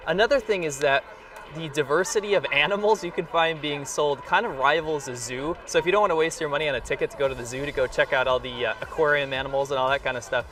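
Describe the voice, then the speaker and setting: A man's voice: a little high, fast talker, a bit bright, far from the mic. A man with an American accent hosting a casual vlog, speaking in an enthusiastic, emphatic way. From rural New York, United States. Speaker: vlog host. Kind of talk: casual vlog talk to camera.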